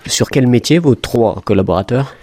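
A man's voice: mid-range voice, normal speed, warm tone, no room sound. A male interviewer asking direct, businesslike questions.

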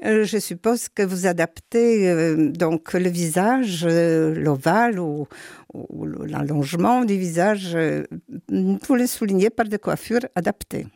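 A woman's voice: fairly low pitch, slow, creaky, a little mellow, dry recording, clean studio sound. An older woman hosting a radio interview, chatty and exclaiming, tagging her sentences with little asides. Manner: chatty, exclaiming, adds "hein". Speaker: radio show host.

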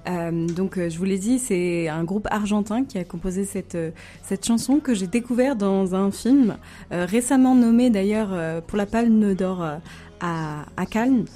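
Hesitant woman with a mellow voice. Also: mid-range voice, measured pace, soft background music. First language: German. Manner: hesitant, many fillers. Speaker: radio co-host. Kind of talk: casual radio segment intro.

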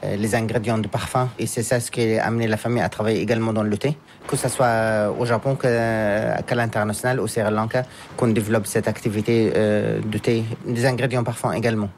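A man from France, raised in Japan, answering interview questions in a halting way as he searches for words. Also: on the low side, flat delivery, a little mellow, a little hiss or hum. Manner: halting, searching for words, with frequent pauses and restarts. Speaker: guest, scientist and businessman.

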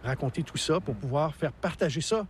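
A man's voice: a little high, lively intonation, fairly quick, slight background noise. A man in his sixties with a Québécois accent, a park naturalist explaining the mountain landscape with enthusiastic, teacherly energy. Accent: Québécois French. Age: 60s. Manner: enthusiastic, teacherly, laughs easily. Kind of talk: radio interview, explaining outdoors.

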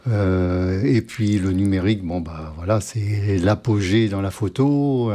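Mellow, low-voiced older man, halting talker. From Normandy, France. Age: older, 60s or 70s. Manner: hesitant, halting, searching for words. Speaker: interview guest, photographer. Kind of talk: casual interview talk.

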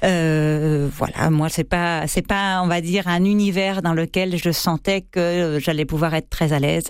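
A woman's voice: fairly low pitch, fairly even intonation, measured pace, clean tone, dry recording. Hesitant French woman, dry studio sound. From France. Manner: hesitant, many fillers and restarts. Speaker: actress and theatre co-director. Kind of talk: radio interview answers.

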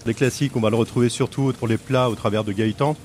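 A man's voice: medium pitch, steady tone, fast, darkish tone, a little hiss or hum. A middle-aged French man who owns a café, chatting casually in an interview with many fillers and restarts. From France. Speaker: café owner.

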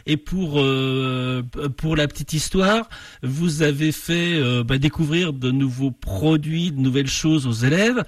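A man's voice: fairly high pitch, measured pace, dry recording, studio quality, distant mic. A man hosting a radio magazine and interviewing a guest, hesitating often and restarting his sentences. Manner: hesitant, many fillers and restarts.

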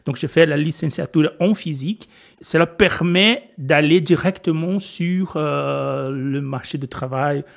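Homme brésilien, voix assez aiguë, hésitant. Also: male voice, a little high, a little slow, vocal fry. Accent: Brazilian Portuguese. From Brazil. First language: Portuguese. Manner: hesitant, many filler sounds and restarts, laughs often. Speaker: physics lecturer, guest. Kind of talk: radio interview.

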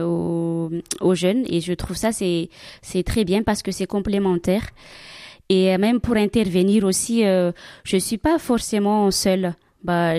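Hesitant woman, mellow voice. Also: lowish voice, no room sound, clean studio sound. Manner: hesitant, many fillers and restarts. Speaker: nun and catechist. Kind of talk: radio interview answers.

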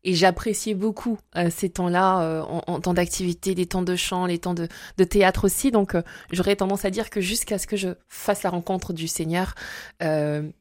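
A woman in her forties from Congo-Brazzaville, a guest telling her faith story in an interview and pausing often with fillers and restarts. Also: fairly low pitch, fairly even intonation, a little mellow, no echo, clean studio sound. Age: 40s. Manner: hesitant, many fillers and restarts. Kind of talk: testimony interview.

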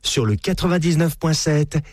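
A man's voice: a little high, animated, moderate pace, no room sound. A man reading a scripted radio station identification as an announcer. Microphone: dry studio microphone. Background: music.

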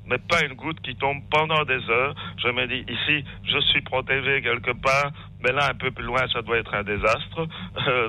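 Male phone-in caller, strikingly sharp bright tone, halting and rambling. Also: mid-range voice, poor audio. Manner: hesitant, rambling, repeats words. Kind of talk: spontaneous radio call-in remarks. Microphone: telephone call.

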